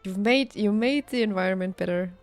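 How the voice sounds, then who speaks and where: A woman's voice: mid-range voice, fairly quick. A woman game streamer chatting casually and reassuringly, in a conversational way. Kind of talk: casual livestream chat. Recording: game music playing behind the voice. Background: video game music.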